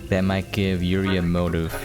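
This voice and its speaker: A man's voice: deep voice, completely flat delivery, moderate pace, music in the background. A man giving casual, conversational commentary while playing a game, in an informal way. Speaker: game reviewer and player, host.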